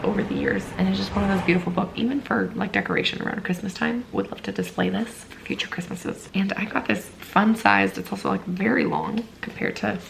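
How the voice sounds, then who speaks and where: A woman with a strongly gravelly, creaky voice talks through her book haul in a loose, chatty way, full of fillers. Steady background noise sits clearly under her voice. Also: mid-range voice. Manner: chatty, uses many fillers. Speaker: book vlogger. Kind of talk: casual vlog chat.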